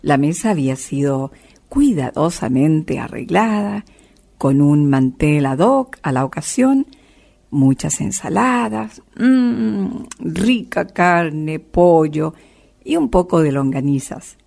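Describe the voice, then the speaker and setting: A woman's voice: low-pitched, animated, takes it slowly, creaky, dark tone. A woman narrating a scripted story in a storybook style.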